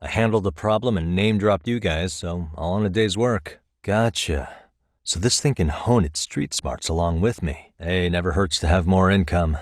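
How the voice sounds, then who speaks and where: Male actor as streetwise American private detective, deep voice, dry close studio sound, cool, wry, dogged, conversational game dialogue. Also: normal speed, no echo, lightly acted. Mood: casual, wry. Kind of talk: English video game voice acting.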